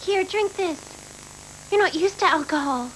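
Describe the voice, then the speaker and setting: A woman's voice: very high-pitched, normal speed, fairly bright tone, slight background noise, rough recording. A woman playing an earnest, protective teenage cyborg girl in an anime dub, caring and defiant. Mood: soothing. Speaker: voice actor in dubbed anime. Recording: worn VHS tape, music behind.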